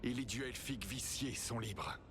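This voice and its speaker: An actor playing an ancient elven god in a game, proud and calculating, with a solemn, formal, measured delivery. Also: male voice, mid-range voice, background noise, lightly acted. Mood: solemn, disdainful.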